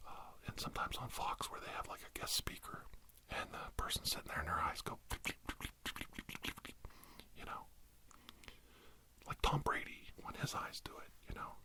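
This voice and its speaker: A man doing rambling ASMR storytelling as a self-styled healing doctor, in a meandering, hesitant, deadpan earnest manner. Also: moderate pace, pauses often.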